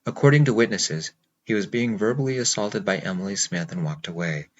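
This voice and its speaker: A narrator voices a brisk male police officer, formal and to the point, in a storybook. Low, a bit deep, on a slightly dull recording with faint hiss. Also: close to an everyday voice. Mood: matter-of-fact.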